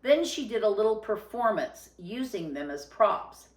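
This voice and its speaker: A woman reading a children's story aloud in an expressive narrating style. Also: mid-range voice, a little slow, reverberant, rough recording. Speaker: storybook reader.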